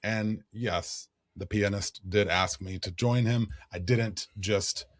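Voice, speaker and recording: A man co-hosting a podcast, telling a story in casual chat, his voice a little raspy from singing. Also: on the low side, slow pace, dry recording, clean studio sound. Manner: animated storyteller, jokey. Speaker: podcast co-host.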